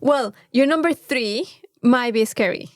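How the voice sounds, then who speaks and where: Mexican woman, native Spanish speaker, higher-pitched, casual podcast banter, playful, sweary, dry sound. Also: measured pace, a little mellow, dry recording. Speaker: podcast co-host. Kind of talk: casual comedic chat.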